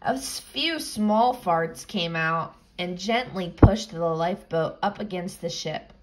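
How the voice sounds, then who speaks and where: A woman reading a children's picture book aloud, with expressive, suspenseful storytelling. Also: lowish voice, slow pace, low-quality recording. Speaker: storybook reader.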